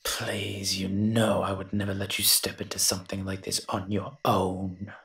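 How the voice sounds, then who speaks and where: A man voicing a grumbling, reluctant invisible monster with a secret soft heart in an audio roleplay, dry and sardonic. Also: low voice, completely flat delivery, measured pace. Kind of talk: scripted character monologue, audio roleplay.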